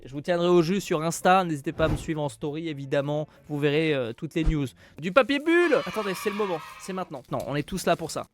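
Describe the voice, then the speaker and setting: A man streaming live, chatting casually as he unboxes a package, with loud exclamations and casual swearing. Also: on the high side, lively intonation, fast talker, hardly any pauses, a little hiss or hum. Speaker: streamer opening a viewer's package.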